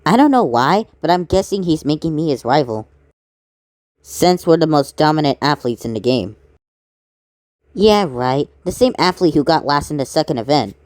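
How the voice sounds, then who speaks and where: A woman doing amateur voice acting for a fan-made animated cartoon. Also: sits low, normal speed, dry recording, clean studio sound.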